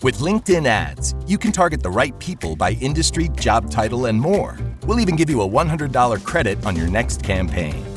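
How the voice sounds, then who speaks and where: A man's voice: medium pitch, sing-song, fairly quick, few pauses. A man reading a scripted advertisement in an upbeat, punchy manner. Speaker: ad voice-over. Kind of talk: scripted ad read. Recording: music bed behind voice.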